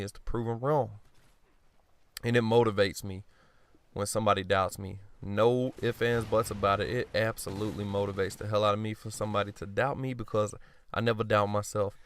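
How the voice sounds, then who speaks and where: A man with a lowish, smooth and resonant voice gives casual commentary over a video game. He rambles bluntly and swears freely, joking at his own expense and clearing his throat often. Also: dry recording. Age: adult. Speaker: gaming commentator.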